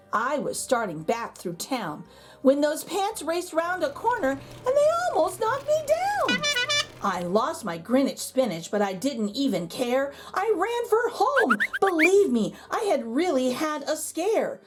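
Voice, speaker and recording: Lady doing a nervous scaredy storybook narrator, rhyming, high voice, slow n dramatic, really up and down. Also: sing-song, music in the background. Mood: frightened. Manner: suspenseful, dramatic, drawn-out emphasis. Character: jittery, easily frightened storybook narrator, protesting bravery. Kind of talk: rhyming picture-book storytelling.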